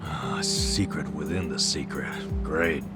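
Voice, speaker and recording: A middle-aged underground caregiver in a game cutscene, skeptical and sardonic. His voice is extremely deep, set over very loud music. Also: a little slow. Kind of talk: video game cutscene voice acting.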